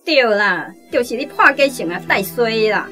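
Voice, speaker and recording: Shrill, indignant villager. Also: female voice, expressive, normal speed, pushed and bright, faint music behind. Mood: accusing. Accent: Taiwanese. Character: indignant village woman demanding answers. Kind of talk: radio drama acting.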